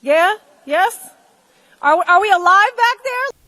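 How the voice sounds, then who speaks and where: A woman's voice: very high voice, lively intonation, takes it slowly, bright, forceful tone, dry recording. A woman presenting at an event, addressing a crowd to rouse a response.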